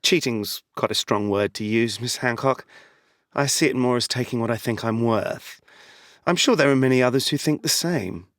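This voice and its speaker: A man's voice: mid-range voice, normal speed, fairly bright tone, no room sound, clean studio sound, close to an everyday voice. An actor playing a smug, greedy con man in an audio drama, glib and self-satisfied. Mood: smug, dismissive.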